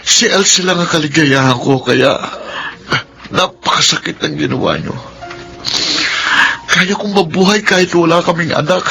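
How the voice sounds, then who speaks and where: A man's voice: a little high, moderate pace, long pauses, sharp tone, soft background music. A man playing an ardent, defiant young man in a Filipino radio romance drama, speaking emphatically and earnestly with a Filipino accent. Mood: anguished, defiant.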